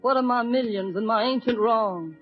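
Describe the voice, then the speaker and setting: A woman's voice: a little high, moderate pace, close to an everyday voice. An actress playing a dignified, wronged woman, proud and grieving, in solemn, impassioned 1940s radio oratory. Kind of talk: 1940s radio acting, declamatory. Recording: muffled old radio broadcast, faint music.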